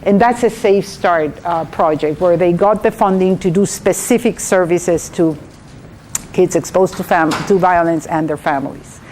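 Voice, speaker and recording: A woman's voice: lowish voice, moderate pace, darkish tone. Guatemalan-accented woman presenting, noisy recording. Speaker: guest speaker, trainer. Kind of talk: training presentation to an audience. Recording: clearly noisy live room recording.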